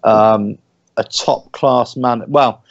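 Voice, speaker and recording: A man's voice: medium pitch, steady tone, drawn-out words, creaky, no room sound. Male fan pundit, opinionated but hedging, mid voice, dry slightly muffled recording. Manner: opinionated, full of hedges and restarts. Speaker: fan panelist. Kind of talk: casual football discussion.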